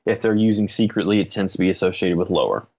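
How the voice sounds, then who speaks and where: A man speaking as an expert guest, explaining in a measured, academic way with careful qualifications. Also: low voice, animated, gravelly, darkish tone, highs cut off. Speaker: guest expert, psychology professor. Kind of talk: explanatory interview answers.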